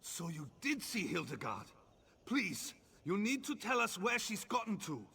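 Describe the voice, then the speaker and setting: An actor playing an anxious, protective brother in a fantasy video game, speaking earnestly and with urgency. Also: male voice, sits high, sing-song, a little slow, vocal fry, sharp tone, husky, exaggerated voice. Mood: urgent, pleading. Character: anxious, protective Nord brother searching for his sister.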